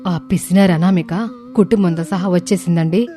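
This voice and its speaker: Woman playing a mellow, shrewd married aunt. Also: lowish voice, normal speed, lightly acted. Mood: alarmed plotting. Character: shrewd married aunt, feigning sweet regret to outwit relatives. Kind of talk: animated moral story dialogue.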